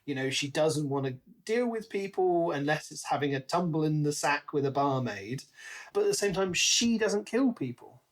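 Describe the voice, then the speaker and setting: A man's voice: fairly high pitch, expressive, moderate pace. A man co-hosting a podcast, discussing a book casually and conversationally, slipping into paraphrased quotes. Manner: conversational, acts out imagined quotes. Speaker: podcast co-host.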